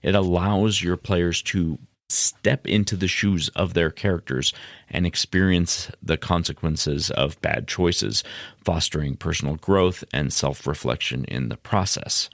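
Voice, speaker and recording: A man with a low, gravelly voice hosts a podcast. He explains in a measured, instructive way, as if working from notes. The sound is dry, with no room echo. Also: fairly bright tone. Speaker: podcast host. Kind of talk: explanatory podcast monologue, semi-scripted.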